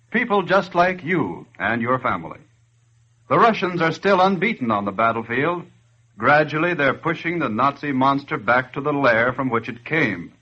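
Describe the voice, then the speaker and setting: A man's voice: medium pitch, slightly rough, muffled recording. A man playing an earnest wartime relief speaker, solemn and admonishing in 1940s radio style.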